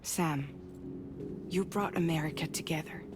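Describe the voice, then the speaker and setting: A woman playing a grave, guarded leader of a courier group in a sci-fi game, speaking in a measured, businesslike way. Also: low voice, background noise. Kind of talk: cinematic game dialogue.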